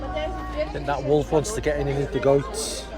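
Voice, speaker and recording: A man's voice: a little high, very animated, normal speed, a crowd in the background. A man vlogging with casual walk-and-talk commentary, rambling and musing aloud as he goes. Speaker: vlogger presenting a theme park walk. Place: outdoors in a theme park. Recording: music behind, outdoor vlog. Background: music.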